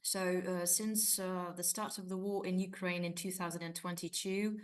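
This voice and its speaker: A woman whose first language is Hungarian, giving a formal academic conference talk over a video call, halting often on fillers. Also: lowish voice, flat delivery. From Romania. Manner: hesitant, many fillers, formal phrasing. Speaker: conference presenter, associate professor. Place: university office, construction noise nearby.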